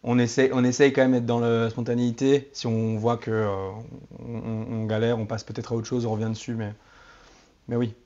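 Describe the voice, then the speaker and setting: Male band member, medium pitch, easygoing interview talk, somewhat muffled recording. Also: little pitch movement, brisk, dark tone. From Turkish family background. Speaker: band musician being interviewed. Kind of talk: relaxed interview conversation.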